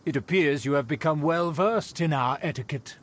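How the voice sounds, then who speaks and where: A man's voice: fairly high pitch, moderate pace, close to an everyday voice. A man voicing a haughty, pompous, vain aristocrat in a video game, speaking in a formal, condescending way. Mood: impressed, grandiose. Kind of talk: video game character acting.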